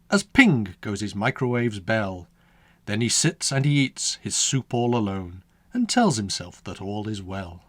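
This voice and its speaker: A man reads his own rhyming children's poem in a mid-pitched voice that rises and falls with a wide, animated lilt. His sing-song, storybook delivery leans into every rhyme. The sound is close and dry, with no room around it. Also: no echo.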